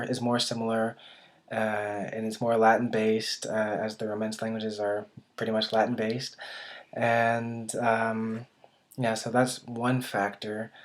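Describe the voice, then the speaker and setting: A young man from the United States talking casually to camera about learning languages, hesitant and thinking aloud with many restarts. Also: lowish voice. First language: English. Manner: thinking aloud, self-correcting. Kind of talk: casual unscripted talk to camera.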